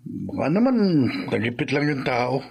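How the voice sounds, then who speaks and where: An actor playing a patient, forgiving husband speaking in a placating way in a radio drama. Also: male voice, mid-range voice, big pitch swings, normal speed, vocal fry, breathy edge, heavily acted.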